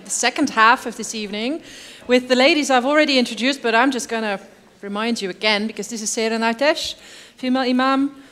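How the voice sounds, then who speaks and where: A woman's voice: on the high side, brisk, hardly any pauses, a bit bright. A woman moderating a panel discussion, introducing guests and asking questions in a friendly way, with many fillers. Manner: friendly, with frequent fillers and backchannels. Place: public debate hall.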